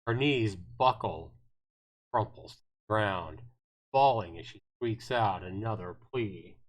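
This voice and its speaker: A man's voice: lowish voice, takes it slowly. A man reading visual novel narration aloud on a livestream, telling it dramatically with the occasional stumble. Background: guitar music.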